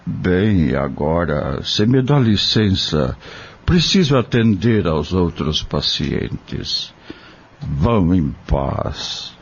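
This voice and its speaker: A man playing a kind, devout country doctor in an audio drama, speaking solemnly and gently, like a fatherly preacher. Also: low-pitched, sing-song, very slow, dull audio, a little hiss or hum, heavily acted. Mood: fatherly, serene.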